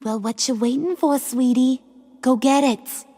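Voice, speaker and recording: A woman's voice: high voice, measured pace, warm tone. A woman playing a brash, flirtatious and menacing villain in a video game, with a sassy, taunting, mock-sweet delivery. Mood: impatient. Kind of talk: dramatic video game acting.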